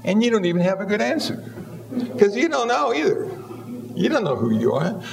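Older man, 70s, Buddhist teacher; relaxed, self-mocking delivery, slightly higher-than-average voice. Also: brisk, gravelly, background noise. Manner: easygoing, wry, acts out little voices. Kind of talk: humorous dharma talk.

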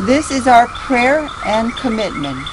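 Woman, kinda high voice, slow prayer reading, birds n noise outside. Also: very animated. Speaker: committee member reading a statement. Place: outdoors at a rural hermitage. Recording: noisy outdoor recording with birdsong. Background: birdsong, clear ambient noise.

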